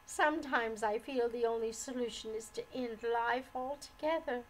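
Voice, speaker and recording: Woman performing a heartbroken storybook wife, high pitch, trembling, sobbing, pleading, unhurried. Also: mellow, slight background noise, low-quality recording. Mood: anguished. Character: heartbroken, despairing, unhappily married young mother.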